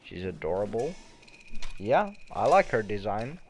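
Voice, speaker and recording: A man's voice: on the low side, sing-song, measured pace, a little hiss or hum. A young man giving casual live gaming commentary, rambling along and swearing casually. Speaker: game streamer.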